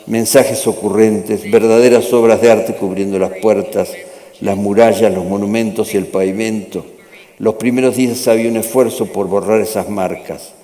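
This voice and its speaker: A man on a conference panel reading a letter aloud in a measured way. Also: fairly low pitch, warm tone. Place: conference hall. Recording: echoey hall with long reverb.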